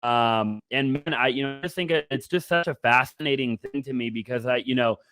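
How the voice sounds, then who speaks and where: A man's voice: medium pitch, brisk, a bit bright, clear, resonant tone, rough recording. Rambling friendly-chat male host. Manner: rambling, stacks fillers and restarts. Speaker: podcast host. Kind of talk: casual interview.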